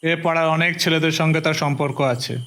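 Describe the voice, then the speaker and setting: A man's voice: fairly high pitch, steady tone, brisk, a bit bright. A man playing a stout neighbourhood gossip in an audio drama, emphatic and accusing yet deferential. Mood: accusing, gossipy.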